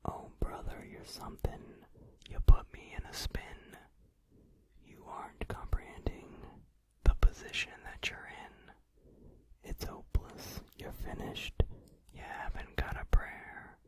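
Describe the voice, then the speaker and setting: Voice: takes it slowly, lightly acted. A performer whispering the part of a cruel, gambling male boogeyman who gloats in singsong. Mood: gloating. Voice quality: whispered, unvoiced. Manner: mocking, singsong. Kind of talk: whispered song cover, ASMR.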